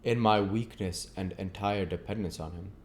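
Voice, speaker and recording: A man's voice: deep voice, fairly even intonation, moderate pace, slight background noise. A man reading a classic novel aloud as an amateur audiobook narrator.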